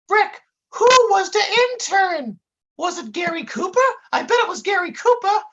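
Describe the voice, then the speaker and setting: Clueless young male sidekick, played by a woman, very high squeaky voice, childish, slangy storybook comedy. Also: big pitch swings, normal speed, dull audio. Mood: baffled. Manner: clueless, old-time wise-guy slang with bad grammar.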